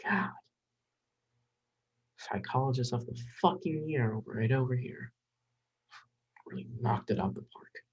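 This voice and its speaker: Husky, flustered young psychologist acted by a performer. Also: medium pitch, unhurried, long pauses. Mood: muttering self-reproach. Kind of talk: dramatic script reading. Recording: dull, muffled recording.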